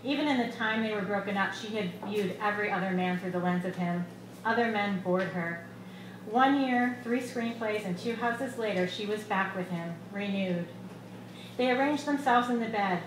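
A woman's voice: mid-range voice, normal speed. A middle-aged woman, an author reading her short story aloud into a stand microphone at a live literary reading. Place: small bar room, live reading. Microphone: microphone on a stand, close. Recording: echoey room, clear background noise.

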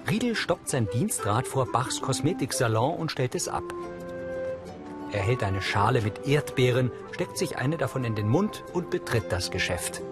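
Man narrating scenes over music. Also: mid-range voice. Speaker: audio description narrator. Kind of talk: scripted narration of a TV episode.

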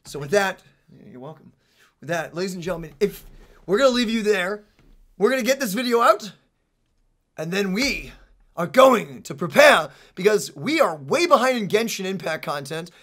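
A man in his twenties hosting a casual game trailer reaction in an excitable, exclamatory way. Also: high voice, moderate pace. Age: 20s. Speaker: reaction show host. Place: small indoor streaming setup.

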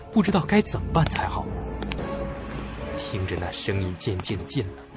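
Male actor as young student narrator, suspenseful radio drama, expressive, lively intonation, clear music behind. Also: fairly low pitch. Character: curious, stubborn young student recounting a night scare. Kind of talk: dramatic first-person suspense story narration.

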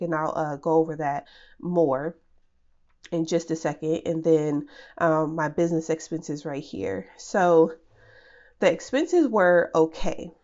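A woman with a stuffy, congested head-cold voice sits lower in pitch as she talks through her planner pages. She rambles in a casual way, pausing with ums and restarting sentences. The recording is a little dull and lo-fi. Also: a little mellow. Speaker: budgeting channel host. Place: at home, over a desk. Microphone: camera above the desk, speaker off screen.

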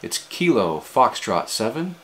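An American man in his forties with a low voice. He talks casually, as in a hands-on hobby demonstration, recorded in a small home office. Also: sing-song, unhurried, bright, forceful tone. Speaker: amateur radio vlogger. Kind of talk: casual demonstration and radio chat.

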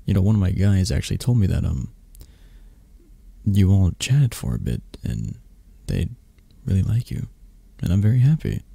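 A man playing a soft, possessive mafia boss in intimate ASMR roleplay, doting in a hushed, halting, soothing murmur. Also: low voice, very animated, vocal fry, slightly rough, no room sound, full character voice. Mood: affectionate.

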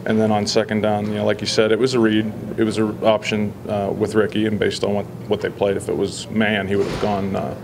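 Low-voiced coach at a press scrum. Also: male voice, monotone, creaky, noisy background. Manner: measured, halting, many fillers. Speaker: football head coach. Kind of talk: post-game press scrum. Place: indoor press backdrop area. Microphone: several handheld reporter mics.

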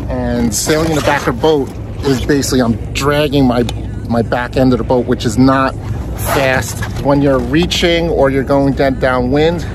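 A man's voice: medium pitch, background noise. A man in his forties, recorded outdoors on a small sailboat with a phone at arm's length, talking through how to sail the boat. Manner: friendly, explanatory, talks while sailing. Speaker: sailing instructor. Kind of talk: casual instructional vlog. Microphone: phone camera held at arm's length.

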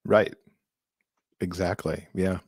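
Lowish-voiced middle-aged man hosting. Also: steady tone, slow, slightly rough, dry recording, studio quality. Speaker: podcast co-host, interviewer. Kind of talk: casual hosting and questions. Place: indoor room, on a video call. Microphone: close podcast microphone.

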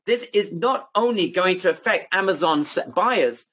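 A man with a high, bright voice runs a sales webinar, driving every point home and calling the audience "guys." His tone is husky and hoarse, as if he is losing his voice. He repeats his claims for emphasis. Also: animated, a little slow. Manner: emphatic, repetitive hype, addresses audience as guys. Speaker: webinar presenter.

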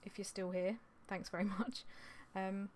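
A woman in her thirties, slightly hoarse from losing her voice, chatting in a rambling, apologetic way on a vlog, recorded in a small indoor craft room on a desktop mic. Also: mid-range voice, level tone, a little slow, a little mellow. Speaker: clothing reseller vlogger. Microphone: desktop condenser mic on an arm.

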